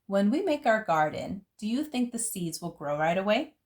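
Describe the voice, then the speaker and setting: A woman voicing a patient, encouraging elementary school teacher from a picture book, speaking gently. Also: medium pitch, big pitch swings, normal speed, lightly acted.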